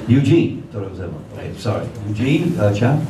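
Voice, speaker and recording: A noisy room recording picks up a middle-aged man from a distance. He has a fairly low voice and chairs a public meeting informally and haltingly. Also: sing-song, reverberant. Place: community meeting room, indoors.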